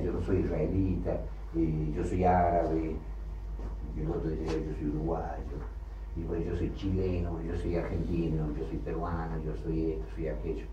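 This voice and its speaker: A man preaching a sermon, emphatic, with loud bursts that drop low again. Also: muffled recording, a little hiss or hum. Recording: echoey hall, from far off, faint ambience.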